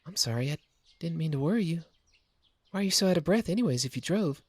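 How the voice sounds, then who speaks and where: A man voicing a gentle, hesitant young woman who muses and trails off softly, as a storybook reader. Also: a little high, animated, quick pace, no echo, voice pushed up. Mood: apologetic. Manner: soft, hesitant, musing.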